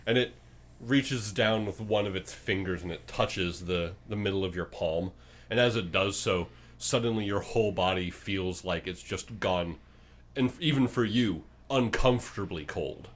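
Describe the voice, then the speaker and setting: A male game master narrates a fantasy tabletop scene. He builds suspense with halting, descriptive phrases, improvising as he goes. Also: deep voice.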